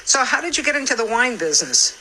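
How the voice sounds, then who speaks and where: Woman, morning radio co-host, bright voice, chatty and joking, casual interview, faint background noise. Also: a little high, very animated, fast talker, poor audio. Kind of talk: casual live interview chat.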